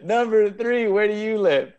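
Upbeat guy hosting a silly dating game on video call, highish voice that swings all over. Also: animated, measured pace, a little mellow, clean tone, dull audio. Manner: upbeat, repeats answers back. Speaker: improv teacher and game show host. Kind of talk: playful game show hosting. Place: indoor room at home, on a video call.

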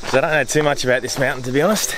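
An Australian man in his thirties chatting casually and excitedly to camera outdoors, in exclamatory, slangy speech. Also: medium pitch, racing, over music, poor audio. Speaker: vlogger. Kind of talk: casual vlog chat to camera. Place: outdoors on a mountain trail and car park. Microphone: handheld camera at arm's length.

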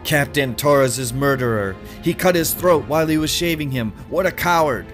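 A man's voice: fairly high pitch, normal speed, music in the background, voice pushed up, close to an everyday voice. A man voicing agitated townspeople in a dramatic story reading, exclaiming their judgment in a declamatory way. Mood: scornful outrage.

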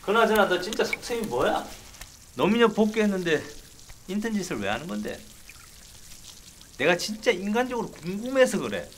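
A man's voice: sits high, big pitch swings, normal speed, pauses often, fairly bright tone, a little hiss or hum, full character voice. An actor in his fifties playing a jumpy, scheming company director who blusters and needles in a sitcom. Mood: threatening. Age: 50s. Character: jumpy, scheming middle-aged company director, threatening and flustered. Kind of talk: sitcom acting. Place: tiled restroom.

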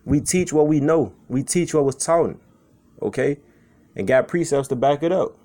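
A man's voice: fairly high pitch, normal speed, warm tone. A man from Chicago giving an informal sermon as a street preacher, reading scripture and talking it over in a loose, conversational way, often tagging lines with "man" and "okay".

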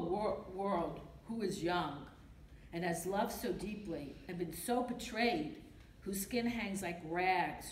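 Older woman, strong Brooklyn accent, rhythmic poetry reader, lowish voice, roomy hall sound with faint noise. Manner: emphatic, rhythmic, repeating refrains. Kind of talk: reading a poem aloud. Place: library meeting room. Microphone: podium mic from a far camera. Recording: echoey room with faint noise.

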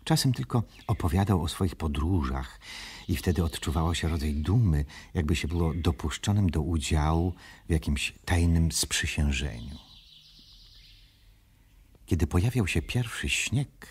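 A man narrating a children's radio play in a measured, solemn storybook style with a husky voice. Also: extremely low pitch, lively intonation, a little mellow.

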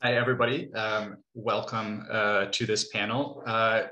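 A man in his thirties moderates an online panel from home. His voice is fairly low and forceful, with a roomy echo around it. He runs through housekeeping informally. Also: steady tone, unhurried, dull audio. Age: 30s. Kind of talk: informal housekeeping remarks to an audience. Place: small home room, indoors. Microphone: video call computer microphone. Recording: roomy echo on video call.

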